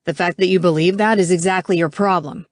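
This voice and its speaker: A woman's voice: lowish voice, steady tone, brisk, no echo, studio quality, natural voice. A woman voicing a belligerent male school coach with a dismissive, needling manner in an audiobook. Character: scoffing, belligerent man, school football coach.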